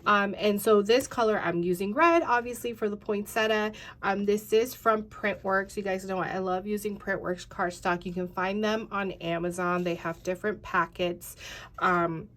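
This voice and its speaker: A woman's voice: medium pitch, steady tone, normal speed, fairly bright tone. A tired, congested woman in her thirties chatting casually through a craft tutorial, rambling with many fillers and talking to viewers as "you guys.". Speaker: craft channel host.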